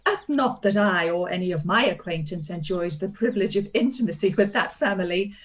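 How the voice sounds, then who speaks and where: An actress playing an expressive, warm middle-aged aunt in a radio play reading. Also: female voice, mid-range voice, a little fast, natural voice. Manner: gushing, expressive. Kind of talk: live-read radio play.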